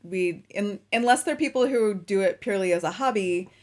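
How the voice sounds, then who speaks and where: A woman's voice: medium pitch, normal speed. A woman hosting a casual interview, chatty and easygoing, asking long rambling questions. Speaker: interview host.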